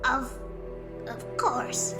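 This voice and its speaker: A woman playing a small, timid female kobold soldier who stammers apologetically in an audio drama. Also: over music. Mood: meek, grateful. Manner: halting, stammering, deferential.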